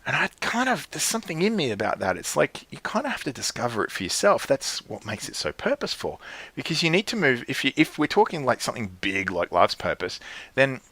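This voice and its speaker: A man coaching his clients, earnest and checking in often, with lively jumps in pitch and frequent restarts. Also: a little fast, slightly rough, dry recording. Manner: earnest, keeps checking in with "right?". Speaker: life coach.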